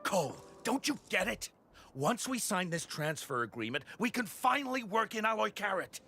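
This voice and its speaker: A man's voice: sits high, very animated, normal speed, gravelly, bright, forceful tone, soft background music, exaggerated voice. An actor playing an eager, insistent young male worker in a video game. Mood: insistent, hopeful. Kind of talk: anime game voice acting.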